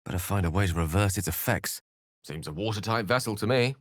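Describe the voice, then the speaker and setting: A learned wizard from a fantasy video game, speaks in a low, very animated voice. He is wordy and articulate, courteous with a wry, theatrical edge. The recording is close and dry, free of any room sound. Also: brisk, dry recording. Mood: thoughtful. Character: eloquent, learned adult male human wizard, wry and courteous. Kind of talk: video game character voice lines.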